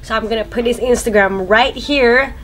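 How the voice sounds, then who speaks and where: A woman's voice: a little high, moderate pace, soft background music. A nineteen-year-old woman, from the Philippines and Sweden, co-hosting a casual chat and telling stories with a laugh. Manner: chatty, laughs through stories, lively retelling. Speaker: YouTube co-host.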